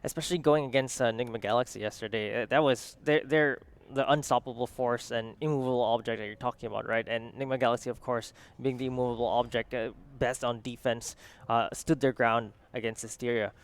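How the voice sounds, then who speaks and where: A man's voice: medium pitch, steady tone, fairly quick, smooth. A male esports analyst giving panel commentary. He speaks hesitantly, with many fillers and restarts.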